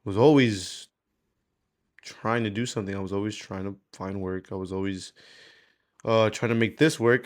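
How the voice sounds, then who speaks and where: A man, speaking candidly in a rambling, slangy, sweary way, as in a casual confessional video diary. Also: on the low side. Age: 20s. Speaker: vlogger.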